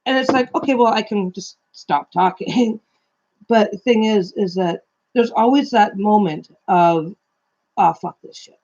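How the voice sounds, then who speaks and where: A woman's voice: medium pitch, a little slow, darkish tone, muffled recording. A woman co-hosting a casual live stream chat, rambling through thoughts and swearing casually in her carrying voice. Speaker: co-host.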